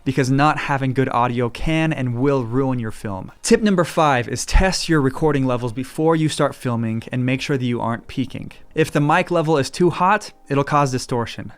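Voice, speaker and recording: A man, host of a filmmaking channel, reading a scripted tutorial in a steady, instructive way. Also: medium pitch, moderate pace, few pauses. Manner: clear, steady, instructive delivery. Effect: EQ-filtered demo voice: muffled, phone-like, thin.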